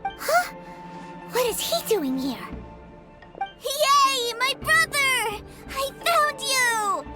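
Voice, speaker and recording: A woman's voice: very high-pitched, big pitch swings, very slow, full character voice. A woman voicing a small, innocent boy in a video game, an adoring little brother with an earnest, childlike manner. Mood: excited. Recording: game music behind the voice.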